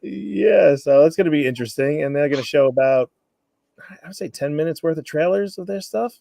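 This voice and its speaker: A man's voice: on the high side, a little fast, creaky, warm tone, dry recording, studio quality. A man chatting loosely and casually as a guest on a video call, often saying "you know". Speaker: guest, radio play organizer. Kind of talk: casual chat.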